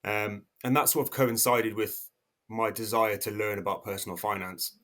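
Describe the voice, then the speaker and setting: Low-voiced man in his thirties, halting. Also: steady tone, a bit bright. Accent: English. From South Africa. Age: 30s. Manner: hesitant, many restarts and fillers. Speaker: podcast guest. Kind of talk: casual interview talk.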